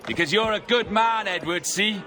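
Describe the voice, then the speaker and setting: An actor playing a swaggering, mocking pirate captain in a video game, speaking in grand, taunting declamation. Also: male voice, sits high, normal speed, pushed and bright.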